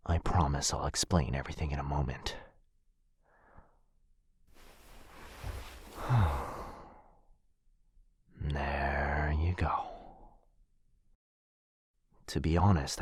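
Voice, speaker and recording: A man playing an apologetic, protective vampire lord in an intimate boyfriend ASMR roleplay, with a breathy, husky voice that often drops to a whisper and a hesitant, tender delivery. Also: extremely low pitch, no room sound, clean studio sound, exaggerated voice. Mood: gentle, reassuring. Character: apologetic, protective male vampire lord, soft-spoken and earnest.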